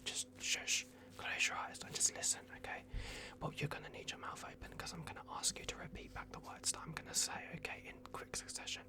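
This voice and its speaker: A performer voicing a soft-spoken, bumbling vampire doctor in an ASMR roleplay, whispering hesitantly and repeating reassurances. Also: a little fast, few pauses, close to an everyday voice. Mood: calm, instructing. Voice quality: whispered, creaky. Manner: hushed, hesitant, repetitive, reassuring.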